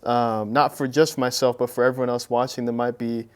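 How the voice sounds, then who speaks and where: Man, young adult, interview host, monotone, relaxed, says "yeah, man" a lot. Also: medium pitch, a little fast, clear, resonant tone. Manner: relaxed, lots of short affirmations. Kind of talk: casual interview.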